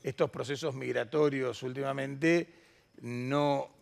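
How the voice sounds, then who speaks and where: A man's voice: mid-range voice, steady tone, takes it slowly, creaky. A man, moderating a conference panel and speaking off the cuff. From Latin America. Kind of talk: unscripted conference panel discussion.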